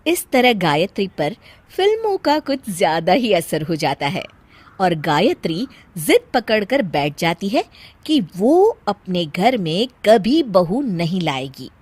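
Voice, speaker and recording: Woman narrator, medium pitch, lively intonation, scripted storytelling, dry close recording. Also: takes it slowly, husky, dry recording.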